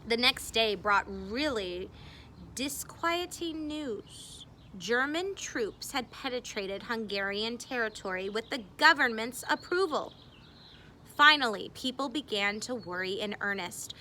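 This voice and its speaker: A woman reading a memoir aloud expressively, with deliberate phrasing and dramatic emphasis. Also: a little high, lively intonation, sharp tone, a little hiss or hum. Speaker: reader.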